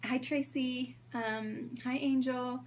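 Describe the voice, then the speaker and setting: A woman in her thirties hosting a sewing livestream in a hoarse voice, chatting casually and greeting viewers by name. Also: high voice, fairly even intonation, slow, slight background noise. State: hoarse from strep throat.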